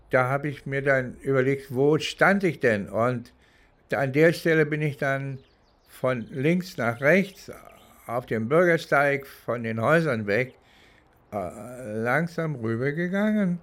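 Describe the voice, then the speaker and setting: Slow, halting older man. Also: medium pitch, a bit bright. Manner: halting, deliberate, searching for words. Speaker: interviewee recounting criminal cases. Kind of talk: unscripted reminiscing in a documentary.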